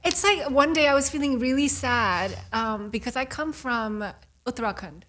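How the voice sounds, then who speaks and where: Chatty rambling woman guest, higher voice. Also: normal speed, fairly bright tone. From India. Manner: chatty, rambling, filler-heavy. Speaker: guest, actress and model. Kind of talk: casual interview chat.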